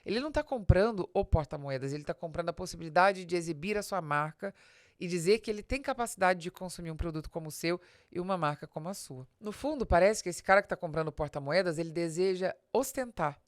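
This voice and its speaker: A woman's voice: fairly low pitch, expressive, fast, no room sound, clean studio sound. A woman with a Brazilian accent giving an explanatory business talk as a podcast host, speaking straight to the listener in a didactic way with emphatic stresses.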